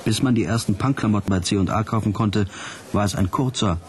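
An actor playing a hard-boiled investigator who narrates his case in a radio drama, sardonic and deliberate. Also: on the low side, natural voice.

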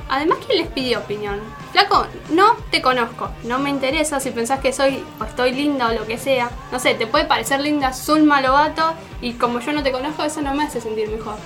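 A woman's voice: sits high, fairly quick, hardly any pauses, fairly bright tone, faint music behind. A young woman with an Argentine accent playing an indignant, sarcastic student chatting colloquially in a radio drama. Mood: exasperated, indignant. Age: young adult. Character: indignant young female student venting to a friend. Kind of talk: naturalistic radio drama dialogue.

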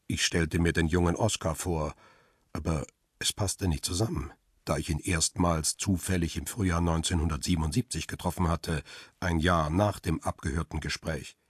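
An actor narrating in the first person as a reflective, uneasy photographer in a quiet, introspective way in a radio drama. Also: male voice, deep voice, steady tone, moderate pace, breathy edge, no echo, clean studio sound. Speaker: narrator and protagonist.

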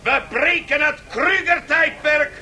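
A brash, mocking auctioneer barks in a very high, forceful voice. He hawks theatrically like a market crier in a radio play. Faint noise sits on the old recording. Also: takes it slowly, exaggerated voice. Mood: mocking, hawking. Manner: loud, theatrical market-barker patter. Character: brash, mocking male auctioneer hawking a bankrupt empire. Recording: faintly noisy 1970s radio drama tape.

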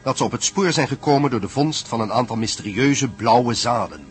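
A man's voice: mid-range voice, lively intonation, a little fast. Male radio play narrator over music.

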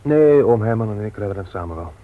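An actor playing an exasperated but restrained younger nephew in a Dutch radio play, politely argumentative. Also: male voice, on the low side, animated, quick pace, close to an everyday voice. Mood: weary. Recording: thin phone-like radio sound, noisy.

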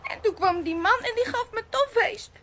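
A woman's voice: extremely high pitch, normal speed, a bit bright, a little hiss or hum. A woman playing a naive little boy in a children's audio drama, chattering innocently in childlike run-on sentences. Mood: innocent, plaintive.

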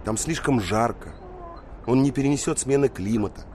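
An actor playing a young engineer, a troubled and emphatic master of a wolf, in an audio drama. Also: male voice, medium pitch, moderate pace, darkish tone, lightly acted. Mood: exasperated. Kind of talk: Russian audio drama acting. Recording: faint vehicle and ambience noise.

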